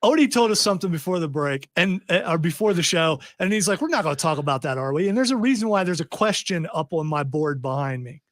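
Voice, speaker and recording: A man's voice: a little high, animated, fast, hardly any pauses, dry recording, studio quality. A man hosting a pop culture podcast, rambling with restarts as he steers the talk. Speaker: podcast host. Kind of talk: casual chat.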